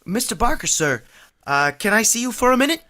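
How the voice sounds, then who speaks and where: A woman doing a bashful young clerk, stammering, hesitant, lively pitch. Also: fairly quick, slight background noise. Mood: nervous. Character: nervous young male store clerk. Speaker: radio drama actor. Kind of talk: vintage radio comedy acting.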